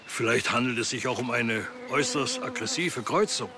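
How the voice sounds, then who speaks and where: A man's voice: medium pitch, moderate pace, noisy background, close to an everyday voice. An actor playing a formal, courteous middle-aged beekeepers' club chairman in a TV crime comedy. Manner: formal, polite.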